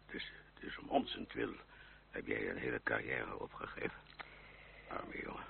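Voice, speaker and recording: A gravelly, bewildered old uncle. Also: male voice, extremely low pitch, very animated, normal speed, exaggerated voice. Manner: stammering, hesitant, sighing. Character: bewildered, sighing old uncle, ruined gentleman fleeing revolution. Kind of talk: radio drama acting. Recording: noisy, humming, tinny old radio recording.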